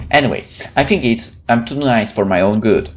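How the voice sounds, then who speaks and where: A man's voice: mid-range voice, a little slow, faint music behind. A man playing a visual novel, reading it aloud and adding casual, playful asides. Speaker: gameplay streamer.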